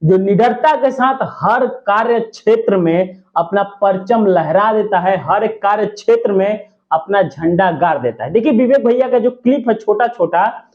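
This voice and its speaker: A man's voice: high-pitched, expressive, a little slow, few pauses. A man giving opinionated, emphatic gossip commentary to his viewers, often repeating his points for stress. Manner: emphatic, repetitive, partisan. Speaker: YouTube commentator. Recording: dull lo-fi audio.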